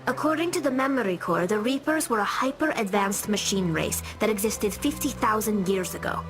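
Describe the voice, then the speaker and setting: A woman's voice: medium pitch, normal speed, faint music behind, close to an everyday voice. A young actress playing an earnest alien engineer in a video game, patiently explaining her people's ways. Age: young adult.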